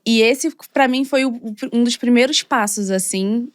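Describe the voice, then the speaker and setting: A young woman from Rio de Janeiro with a Carioca accent, a podcast guest telling her story in a chatty, animated way full of fillers. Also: fairly high pitch, moderate pace, no room sound, studio quality. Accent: Carioca Brazilian Portuguese. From Rio de Janeiro, Brazil. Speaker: guest, model and content creator. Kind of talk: casual podcast conversation.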